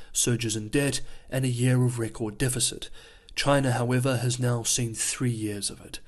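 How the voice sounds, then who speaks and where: A male host narrates a scripted economic news rundown in a calm, measured voice at an ordinary mid pitch. He explains each point steadily, as if walking viewers through the figures, and his voice is wearing thin and turning hoarse. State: losing his voice. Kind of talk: scripted news analysis voice-over.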